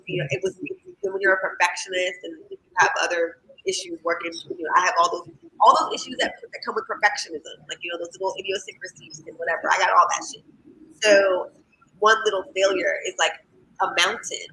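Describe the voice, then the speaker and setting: A guest singer with a raspy, gravelly voice that carries a bright, sharp edge. They talk in a loose, candid stream, circling back with fillers and restarts, and a faint hiss sits under the recording. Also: mid-range voice, fairly quick. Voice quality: raspy, scratchy voice. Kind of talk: casual interview chat.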